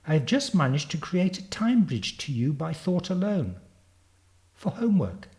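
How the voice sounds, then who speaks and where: Man voicing a bright, high-pitched future schoolgirl. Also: sing-song. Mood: proud, eager. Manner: brisk, precocious, matter-of-fact. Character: bright, confident, precocious ten-year-old girl from the future. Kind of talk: storybook character reading.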